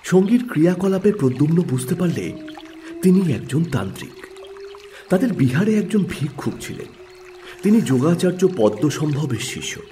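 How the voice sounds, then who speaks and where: A man narrating a scripted mystery story as host, with measured, dramatic delivery. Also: fairly high pitch, dark tone. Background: background music.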